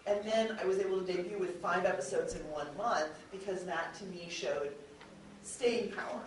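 A woman's voice: fairly low pitch, normal speed. Chatty woman panelist in a very echoey room. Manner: chatty, animatedly mimics others in quoted speech. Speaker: podcast producer speaking as panelist. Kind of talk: unscripted panel talk to an audience. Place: convention panel room with an audience. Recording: very echoey room, distant mic, faint noise.

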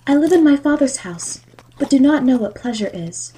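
A woman's voice: high voice, lively intonation, moderate pace, dark tone, slight background noise, low-quality recording. A woman playing an innocent young girl, the merchant's daughter in a fairy tale audio drama. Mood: plaintive.

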